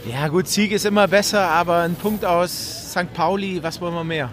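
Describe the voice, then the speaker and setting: A man from northern Germany, a football fan answering a street interview outdoors, relaxed and a little hesitant. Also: high-pitched, normal speed, soft background music. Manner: relaxed, hesitant, repeats himself.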